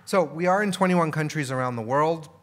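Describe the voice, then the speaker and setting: A man's voice: a little high, fairly quick. A man giving a conference keynote in a loose, casual way, often repeating words and asking "right?". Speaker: keynote speaker. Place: conference hall.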